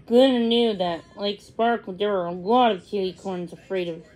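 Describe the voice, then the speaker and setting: A woman reading a children's story aloud as a read-along storyteller, in a halting, word-by-word way. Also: mid-range voice, darkish tone.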